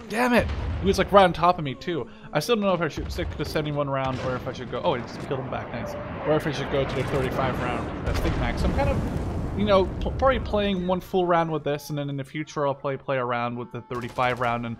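A man's voice: on the high side, lively intonation, quick pace, heavy background noise. A young man giving casual, rambling commentary over his own gameplay, now and then swearing at surprises. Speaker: gaming channel host. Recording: game gunfire and artillery behind voice.

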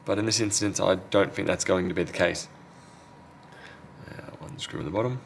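A man with a fairly low voice talks casually through hands-on repair work. His delivery is unscripted and half to himself, like a technician narrating at the bench. Background noise is clearly audible behind him. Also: moderate pace. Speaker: phone repair technician and video host. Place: indoor repair workbench.

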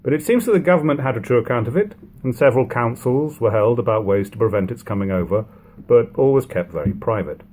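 A man in his thirties giving a measured, even reading of old prose at home on a webcam. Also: mid-range voice, breathy edge. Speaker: reader of a classic book. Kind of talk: unhurried audiobook reading. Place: living room at home.

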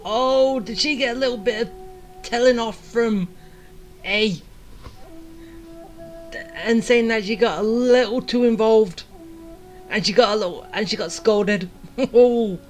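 Slow-talking woman gamer, slightly high voice. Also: faint music behind. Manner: giggly, reacting aloud. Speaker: gamer playing through a story. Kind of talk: casual playthrough commentary.

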